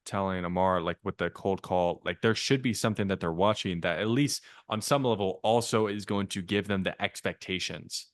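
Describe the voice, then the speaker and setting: Guy sales coach on a video call, deep-ish voice, says like a lot, super dry sound. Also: normal speed, hardly any pauses, dry recording. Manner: casual, filler-heavy, frequent "like".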